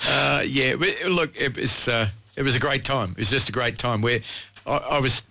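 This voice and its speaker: A man's voice: mid-range voice, moderate pace, creaky, sharp tone, no room sound. A middle-aged Australian man chatting casually as a guest in a radio studio. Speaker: former breakfast radio host, studio guest. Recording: AM radio broadcast picked up online.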